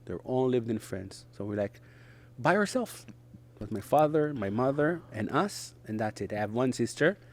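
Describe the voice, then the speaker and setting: A middle-aged man from Israel, a native Hebrew speaker, talking in English as an interview guest in short, halting phrases with frequent restarts. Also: mid-range voice, very animated. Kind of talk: conversational interview.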